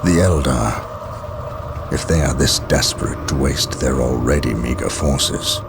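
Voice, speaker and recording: An actor playing a resolute, battle-hardened space soldier captain in a video game, solemn and forceful. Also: male voice, a bass voice, slow pace, gravelly, heavily acted. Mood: grim. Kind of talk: dramatic video game cutscene acting. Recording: loud music over the voice.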